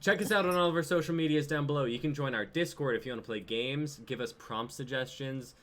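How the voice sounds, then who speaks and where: A man's voice: mid-range voice, fairly quick, fairly bright tone, slight background noise. A man in his twenties chatting casually as he hosts a live-streamed reading group. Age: 20s.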